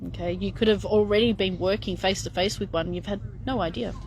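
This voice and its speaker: Middle-aged woman, casual live q&a, frank and chatty, halting with fillers. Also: medium pitch, steady tone, a little mellow, a little hiss or hum, low-quality recording. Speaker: spiritual channeler answering viewer questions.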